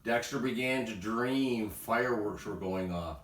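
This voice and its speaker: A man reading a children's bedtime story aloud in an expressive storytelling style. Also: fairly low pitch, measured pace, slight background noise. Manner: expressive, acts out sound words. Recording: roomy echo, distant from mic.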